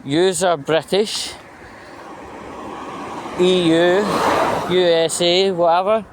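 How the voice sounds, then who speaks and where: A Scottish man rambling through a casual anecdote in a halting, opinionated and aggrieved way. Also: high voice, fairly even intonation, heavy background noise. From Scotland. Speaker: vlogger.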